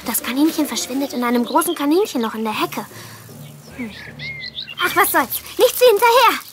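High-voiced, curious little girl. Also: expressive, normal speed, music in the background. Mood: determined. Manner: wondering aloud to herself, exclamatory. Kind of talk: German audio drama acting.

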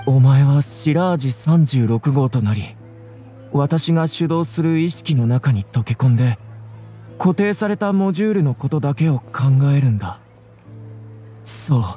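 An actor playing a troubled, earnest young scholar with a hesitant, apologetic manner in an anime game. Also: male voice, a little high, mellow, husky. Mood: haunted recollection. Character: troubled, earnest young male scholar recalling his ordeal.

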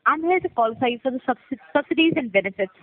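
A woman's voice: high voice, expressive, very fast. A woman cold-calling as a telemarketer, going through a sales script over the telephone. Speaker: telemarketing caller. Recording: tinny narrowband phone line.

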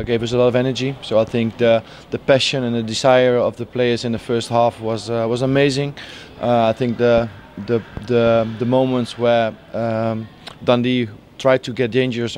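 A man in his forties speaks in a level, flat voice with little pitch movement, pausing often and restarting sentences as he searches for words. He stands outdoors by the pitch at a stadium, and a faint outdoor hum sits beneath his voice. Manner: halting, many fillers and restarts. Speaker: football head coach. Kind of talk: post-match interview.

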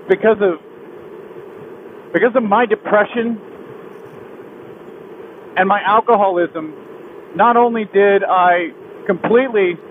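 A young man riding a motorcycle on a highway, talking through a helmet mic in a halting, heavy-hearted confession that keeps restarting. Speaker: motovlogger. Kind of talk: personal confession while riding. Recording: tinny helmet mic with wind and road noise.

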